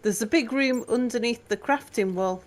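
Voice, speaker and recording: A woman's voice: fairly high pitch, moderate pace, slight background noise. A woman chatting on a gaming livestream with friends, explaining things in a dry, mock-patient way. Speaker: co-player on a livestream. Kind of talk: casual gaming chat.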